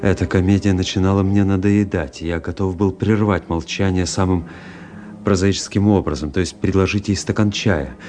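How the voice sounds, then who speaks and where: An actor playing a world-weary officer who narrates a literary radio play in a measured, brooding, dramatic way. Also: male voice, lowish voice, a little mellow, close to an everyday voice. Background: music.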